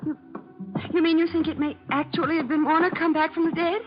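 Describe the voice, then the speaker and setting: Very high-voiced frightened woman. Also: steady tone, a little fast. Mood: nervous. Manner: breathy, stammering, anxious. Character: frightened, nervous young blonde woman. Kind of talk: 1940s radio melodrama acting. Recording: muffled old radio recording, faint music.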